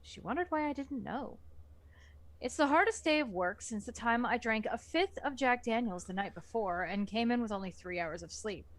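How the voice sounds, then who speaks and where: A woman's voice: fairly high pitch, animated, normal speed. Woman reading a novel aloud. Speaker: book club reader.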